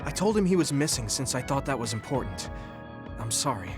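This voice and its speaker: A man playing an earnest, caring young soldier in a video game, speaking sincerely and clearly. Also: medium pitch, big pitch swings, fairly quick. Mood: apologetic, troubled. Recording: background music under dialogue. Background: game soundtrack music.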